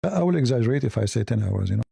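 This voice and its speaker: A man's voice: medium pitch, lively intonation, rapid-fire, gravelly, mellow, slightly rough, no echo, studio quality. A man hosting a live news commentary stream, blunt and opinionated, rambling with hesitant fillers and speaking English as a second language. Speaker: host.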